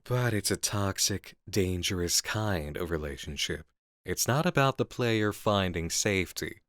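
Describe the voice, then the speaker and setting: A man playing an intense, seductive horror game designer in an intimate ASMR roleplay, speaking in deliberate, drawn-out phrases on a close mic. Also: low-pitched, expressive, a bit bright, no room sound. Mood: dark, persuasive. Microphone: close studio mic.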